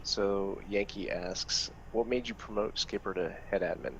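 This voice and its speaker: Man, deep and gravelly voice, plainspoken staff lead on a slightly muffled voice chat. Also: measured pace, slight background noise. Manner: plain, matter-of-fact, hesitant fillers. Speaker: head of gaming community staff. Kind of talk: casual group Q&A.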